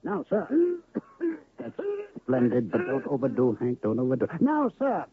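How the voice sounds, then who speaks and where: An actor in a radio drama playing a pompous, florid traveling medicine-show pitchman who pours out a grand sales patter, on a narrow lo-fi old radio recording. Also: huge pitch swings, normal speed, creaky, husky, heavily acted. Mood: grandiloquent. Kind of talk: 1970s radio drama acting.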